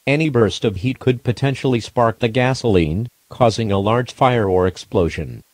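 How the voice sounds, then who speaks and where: A man's voice: mid-range voice, moderate pace, darkish tone, dry recording. A man's formal, impersonal synthetic voice reading a scripted emergency alert announcement.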